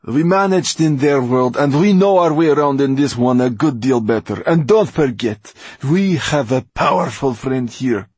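Guy doing bossy nasty older villain lady for an audiobook, raised pitch, very husky, sharp and scornful. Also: lively intonation, moderate pace, no echo. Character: domineering, sharp-tongued, ruthless older woman villain. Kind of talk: audiobook character acting.

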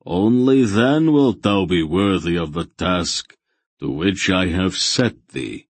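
A man's voice: low-pitched, animated, slow pace, dry recording, exaggerated voice. A man voicing a stern, majestic old god in a fantasy audiobook, with solemn, archaic, commanding speech. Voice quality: soft, solemn voice. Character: stern ancient bearded male god, grave and majestic.

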